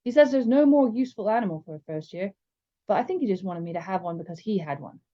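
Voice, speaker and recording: A woman's voice: mid-range voice, animated, fast, mellow, close to an everyday voice. Woman voicing a chatty, cheeky young American boy. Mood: breezy, excited. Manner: rambling, enthusiastic, breezy. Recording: slightly dull, lo-fi sound.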